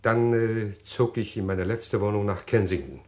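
An actor playing a scheming, self-pitying husband who recounts his crime in a German radio play, deliberate and theatrical. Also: fairly low pitch, lightly acted. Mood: reflective.

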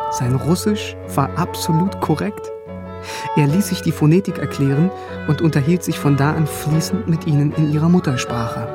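An actor as a bookish young soldier, lightish voice. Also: male voice, a little high, close to an everyday voice. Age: young adult. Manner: wry and reflective, nervous before officers. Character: bookish, quietly stubborn young conscript soldier telling his story. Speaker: narrator and lead character. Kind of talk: first-person radio drama narration and dialogue. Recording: music under the voice. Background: music.

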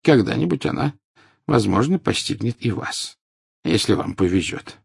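A man's voice: fairly low pitch, lively intonation, moderate pace, gravelly, husky, no echo, studio quality, pitched above the performer's own voice, full character voice. Frail old wizard, a man voicing him. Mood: wry. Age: older. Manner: weak, quiet, pleading. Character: frail old male wizard, calm and wry.

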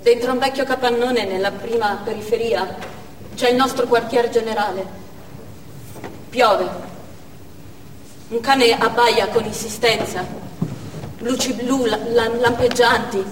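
A woman's voice: on the high side, steady tone, unhurried, long pauses, a little hiss or hum, close to an everyday voice. An actress playing a devout, fearful Chechen woman militant, narrating in a theatrical stage monologue. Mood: tense, fearful. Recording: echoey theatre hall, distant, faint music.

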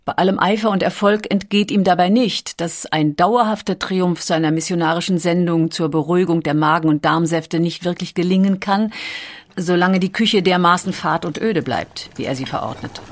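A woman reading scripted documentary narration with measured, carefully articulated storytelling. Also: lowish voice.

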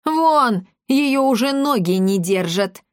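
A woman's voice: medium pitch, lively intonation, normal speed, no room sound, clean studio sound, lightly acted. A woman voicing a tiny old mother in a storybook, domineering, scolding and theatrically outraged.

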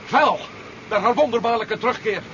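An actor playing an earnest, doubting hero in a 1970s science fiction radio play. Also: male voice, sits high, moderate pace, bright, forceful tone. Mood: agitated. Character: earnest, doubting male hero, friend of the general. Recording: dull, noisy 1970s radio drama recording.

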